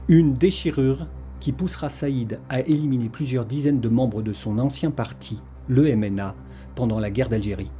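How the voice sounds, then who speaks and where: A man's voice: medium pitch, warm tone. A man reading a scripted historical documentary narration as its narrator.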